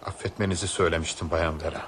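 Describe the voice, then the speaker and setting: Anxious, insistent train passenger, low voice. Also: male voice, steady tone, racing, a bit bright. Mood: apologetic, troubled. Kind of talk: Turkish radio drama acting. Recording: noisy old radio play recording.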